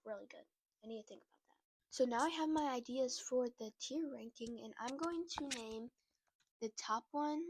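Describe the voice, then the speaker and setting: A woman chatting casually to her viewers while a little sick, rambling with lots of fillers and restarts. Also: fairly high pitch. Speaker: book content creator. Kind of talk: casual chat to camera.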